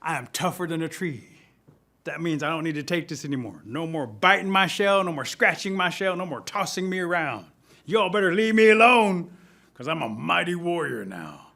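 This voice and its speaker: A man voicing a vain, boastful turtle who declares himself a mighty warrior in an animated folktale, with emphatic bragging. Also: fairly high pitch, a little fast, creaky. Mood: defiant. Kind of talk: animated oral folktale storytelling.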